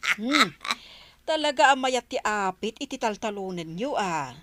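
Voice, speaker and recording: A woman's voice: medium pitch, expressive, unhurried, sharp tone, no echo, studio quality. A woman playing an inquisitive, advice-giving village neighbour in a radio comedy, with a Filipino accent. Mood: curious. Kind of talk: Ilocano radio comedy drama acting.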